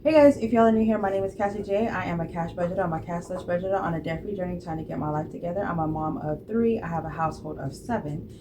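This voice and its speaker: A woman in her late thirties talks casually about budgeting, in a low voice. She rambles, with fillers and self-corrections. The recording carries clear background noise. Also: fast, hardly any pauses. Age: 30s. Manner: rambling, chatty, many fillers and restarts. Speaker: budgeting vlogger. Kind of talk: casual chat to camera.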